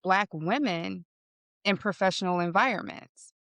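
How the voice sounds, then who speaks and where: Deep-voiced woman guest, measured. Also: fairly bright tone, no room sound. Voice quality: deeper voice. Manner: measured, explanatory, tags sentences with "right?". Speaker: podcast guest. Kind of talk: casual interview conversation.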